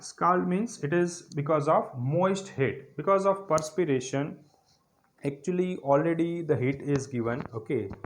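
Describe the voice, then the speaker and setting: A man with an Indian English accent giving a physiotherapy lecture, explaining step by step and checking "clear?" again and again. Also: on the high side, a little hiss or hum. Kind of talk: recorded classroom lecture.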